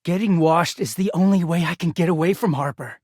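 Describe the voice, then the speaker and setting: A man's voice: a little high, brisk, breathy edge, no echo, clean studio sound. A man voicing a bitter, exasperated, sardonic, foul-mouthed young male living-laundry character in a video game. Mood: weary, resigned. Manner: sardonic, foul-mouthed, swinging from muttering to shouting.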